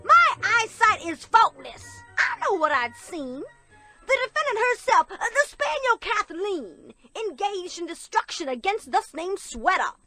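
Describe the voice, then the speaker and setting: A woman's voice: very high-pitched, big pitch swings, unhurried, pushed and bright, faint music behind, heavily acted. A woman playing an indignant, self-righteous earthworm witness with a haughty, theatrical delivery in a comic rhymed radio play.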